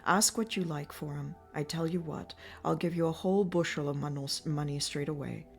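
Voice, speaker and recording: A woman's voice: low-pitched, normal speed, faint music behind. A woman voicing an eager farmer man who begs to buy something and frets about a chest.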